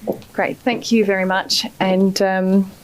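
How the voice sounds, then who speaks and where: A woman's voice: medium pitch, flat delivery, unhurried, background noise. A middle-aged Australian woman giving an award acceptance speech, earnest and grateful, with many hesitant fillers. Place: ceremony hall.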